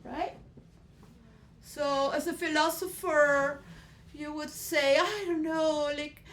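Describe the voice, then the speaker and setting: A woman giving a rambling philosophical monologue to role players, shifting between soft musing and loud emotional outbursts. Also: high-pitched, steady tone, takes it slowly. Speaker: speaker addressing role players.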